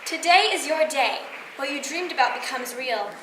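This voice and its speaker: A young teenage girl performing a stage monologue as an excitable, hopeful young woman, chatty and dramatic. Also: sits high, moderate pace. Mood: excited, hopeful. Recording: roomy, distant, noisy live recording.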